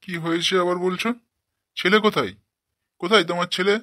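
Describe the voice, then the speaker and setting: A man voicing a short-tempered, foul-mouthed village father in a comic cartoon, gruff and scolding. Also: high voice, steady tone, a little fast, pushed and bright, no room sound, clean studio sound. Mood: irritated, suspicious.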